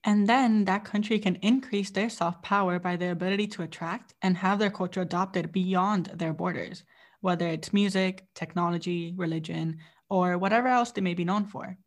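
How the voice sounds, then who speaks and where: A young woman co-hosting a student podcast, reading a prepared introduction in a measured way. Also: fairly low pitch, fairly even intonation. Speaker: podcast co-host.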